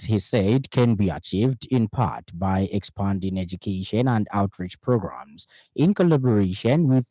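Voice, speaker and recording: A man with a Zambian accent reading a formal radio news bulletin in a measured, emphatic way. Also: on the low side, dark tone, no echo. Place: radio studio. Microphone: close studio microphone.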